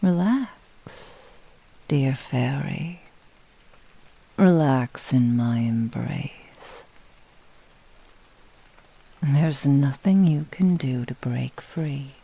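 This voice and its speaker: Woman voicing a husky, whispering, possessive fox witch. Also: rumbling low, animated, dark tone, exaggerated voice, rough recording. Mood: soothing, domineering. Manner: slow, teasing, purring menace. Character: possessive, menacing, seductive female fox-spirit witch. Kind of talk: whispered ASMR roleplay.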